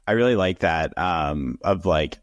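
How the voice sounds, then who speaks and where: A young man hosting an interview, chatting casually with lots of "like" and quick affirmations. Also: low-pitched, expressive, dry recording. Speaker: interview host.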